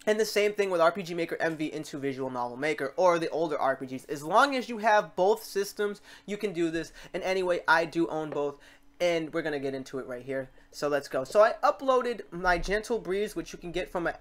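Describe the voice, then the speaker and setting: A woman hosting an informal software tutorial, talking in a chatty, rambling way and often correcting herself. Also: deep voice, animated, brisk. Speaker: tutorial host.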